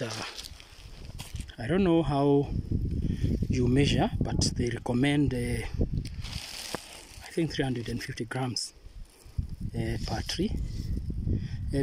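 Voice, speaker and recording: Kenyan farmer guy showing how it's done, slow n halting, moving around so he comes and goes from the mic, bit of hiss. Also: medium pitch. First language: Swahili. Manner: unhurried, hesitant with many pauses. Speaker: avocado farmer. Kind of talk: hands-on farming demonstration. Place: outdoors on a farm.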